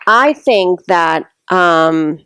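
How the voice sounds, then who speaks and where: A woman's voice: lowish voice, expressive, very slow, fairly bright tone, slight background noise. A middle-aged woman from Mexico answering a question as a panelist, earnest and reflective. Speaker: panelist sharing her story. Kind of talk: heartfelt public speaking.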